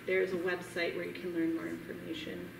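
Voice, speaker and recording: A woman's voice: on the low side, monotone, brisk, gravelly. A middle-aged woman hosting a gallery event, thanking people and inviting guests up to talk. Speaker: gallery host. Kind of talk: event welcome. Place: large gallery hall, indoors. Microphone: distant camera mic. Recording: echoey room from far off, background noise.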